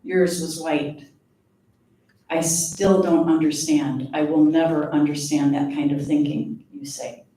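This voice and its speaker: A woman giving a live poetry reading of her own work, in a measured, deliberate way with pauses. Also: low-pitched, steady tone, warm tone. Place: live reading event before an audience. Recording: very echoey room, distant mic, faint noise.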